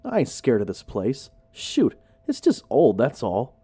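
A man's voice: mid-range voice, huge pitch swings, unhurried, warm tone, slightly rough, exaggerated voice. A man voicing a big, cocky, boastful male friend in a horror story, with brash, slangy bravado.

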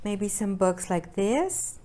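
A middle-aged woman giving a demonstration for parents as an early childhood educator, speaking in simple, deliberate phrases with short pauses. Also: medium pitch, sing-song, mellow. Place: indoors at a table by a brick fireplace.

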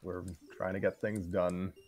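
A man in his twenties chatting casually as a show host giving an update, halting and wry, often restarting his sentences. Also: sits low, level tone, a little mellow. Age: 20s. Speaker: host of a story-reading voice show. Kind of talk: casual chat, project update.

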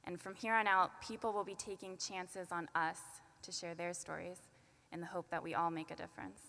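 A young woman in her twenties giving a commencement speech at a podium, earnest and measured with light self-deprecating asides. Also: fairly low pitch, little pitch movement, vocal fry, bright, forceful tone. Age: 20s. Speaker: student commencement speaker. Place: large arena at a podium. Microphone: podium microphone. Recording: long arena reverb.

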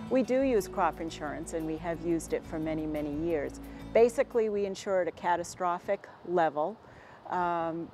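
An American woman in her forties, a dairy farmer answering interview questions in a plainspoken, halting and measured way. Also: fairly low pitch, darkish tone. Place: inside a barn with hay bales. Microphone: lapel mic.

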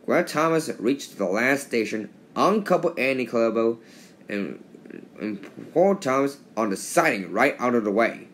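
Man reading aloud, lively pitch swings. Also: slight background noise. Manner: stumbles and repeats words while reading. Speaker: storybook reader. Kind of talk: read-aloud children's story.

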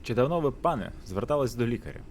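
A man's voice: mid-range voice, expressive, fast, a little mellow, voice pushed up, natural voice. Man acting a pompous, sneering landlord, noisy recording. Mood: mocking. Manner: pompous, sarcastic, condescending. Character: haughty, mocking landlord Zbrozhyk, pompous gentleman. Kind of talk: theatrical voice acting for a visual novel.

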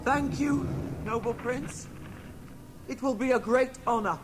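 A man's voice: very high voice, measured pace, loud music behind the voice. An actor playing a polite, earnest young male adventurer in a radio drama, respectful and composed as he pleads. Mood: courteous, composed. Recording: noisy radio drama soundscape.

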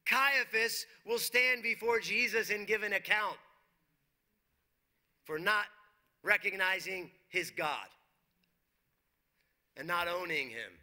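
Man, forties, pastor preaching, emphatic with rhetorical questions, high voice, very bright tone. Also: takes it slowly, long pauses. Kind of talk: sermon. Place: church stage, dark brick wall behind.

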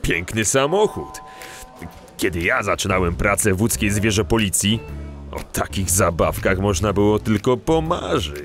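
A man acts a genial veteran animal police officer in a children's audio drama. The voice is on the low side. Soft music runs faintly underneath. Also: animated, normal speed, close to an everyday voice. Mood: admiring, matter-of-fact.

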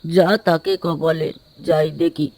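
A man's voice: fairly high pitch, little pitch movement, unhurried, background noise, close to an everyday voice. A man voicing a lazy, headstrong and naive young village son in a cartoon. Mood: stubborn, musing.

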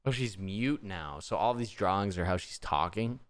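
A man's voice: deep voice, animated, moderate pace. A young man streaming from his room into a desk mic, reading aloud and reacting informally as he muses. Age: young adult. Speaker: streamer reacting to a horror video. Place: small indoor room at a desk. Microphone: desk microphone close to the mouth.

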